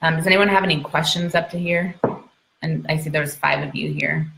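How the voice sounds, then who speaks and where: A woman's voice: low voice, steady tone, normal speed, vocal fry, pushed and bright. A woman giving an informal educational talk to an online audience, emphatic, repeating key points and checking in as she goes. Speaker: speaker, midwife and trainer. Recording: roomy, echoey sound.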